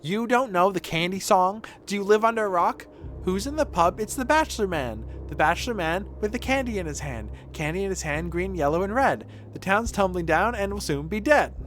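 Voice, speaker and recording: Prickly, taunting game woman, acted out by a man, expressive delivery, animated pitch. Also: a little fast, soft background music. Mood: taunting, playful. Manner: snappish, taunting. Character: guarded, prickly woman, mocking and candy-obsessed. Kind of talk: video game dialogue read aloud.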